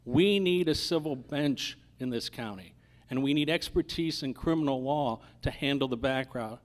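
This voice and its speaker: A middle-aged or older man running for judge, giving a halting, earnest closing statement. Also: mid-range voice. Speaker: candidate for district judge.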